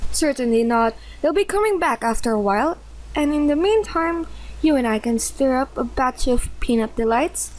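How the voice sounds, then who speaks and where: High-voiced, encouraging woman acted in radio play. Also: animated, moderate pace, rough recording. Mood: knowing, teasing. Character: cheerful, encouraging female family member, gently teasing.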